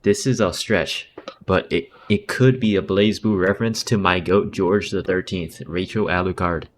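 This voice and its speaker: A woman's voice: rumbling low, fairly even intonation, moderate pace, slight background noise. A woman giving casual, slangy, joking livestream commentary over a game, with some swearing. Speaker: game streamer. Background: faint game music.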